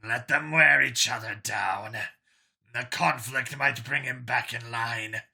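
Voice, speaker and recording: An irate, imperious dragon overlord of an audiobook acted by a man. He speaks slowly and loudly, with a sharp, rough-edged voice full of contempt for his underlings. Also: medium pitch, heavily acted. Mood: commanding. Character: sickly, irate dragon overlord barking orders. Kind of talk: audiobook character voice.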